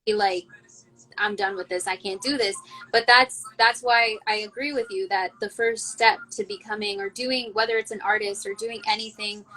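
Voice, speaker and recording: A woman's voice: medium pitch, fairly even intonation, normal speed, bright, forceful tone, rough recording. A woman hosting a casual interview, talking earnestly and thinking aloud with lots of "you know" and "like". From El Salvador, raised in Canada. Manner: earnest, rambling, thinks aloud with many fillers. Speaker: interview host.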